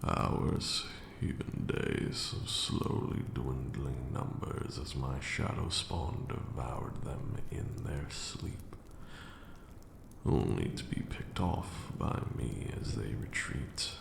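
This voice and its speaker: An abyssally deep, heavily creaking voice of an ancient male vampire lord, acted by a man, unspools a slow ASMR monologue. He is brooding and grandiose, cruel yet weary, with measured, hushed words echoing in a roomy space. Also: expressive, heavily acted. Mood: grim, brooding. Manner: measured, grandiose, archaic phrasing. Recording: roomy echo, audible background noise.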